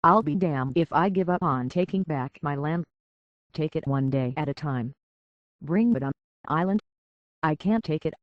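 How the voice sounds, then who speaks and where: An actor playing a gruff, proud, older male island hunter in a video game, with a gravelly, husky voice and folksy, rustic speech. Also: fairly high pitch, normal speed, long pauses, no echo, highs cut off, natural voice. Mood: wary, gruff. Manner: folksy rustic speech with dropped grammar and ain't. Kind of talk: video game dialogue acting.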